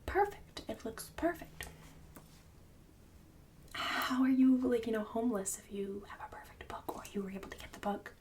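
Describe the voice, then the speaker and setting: A woman playing a snobbish, bossy book-club mom in an ASMR roleplay, mostly in a breathy whisper with fussy, passive-aggressive condescension. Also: a little high, animated, normal speed, recorded from a distance, natural voice. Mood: judgmental, smug. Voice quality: breathy whisper and soft speech.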